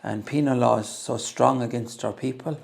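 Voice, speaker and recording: A man's voice: medium pitch, steady tone, normal speed, vocal fry. An Irish man, a guest, talking earnestly and emphatically in a casual chat. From Ireland. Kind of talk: casual conversation.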